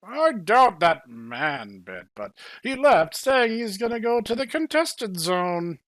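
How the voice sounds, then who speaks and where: A man's voice: sits high, sing-song, slow pace, fairly bright tone, no room sound, studio quality, voice pushed up, full character voice. A man voicing a portly, frank townsman in a bar. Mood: informative.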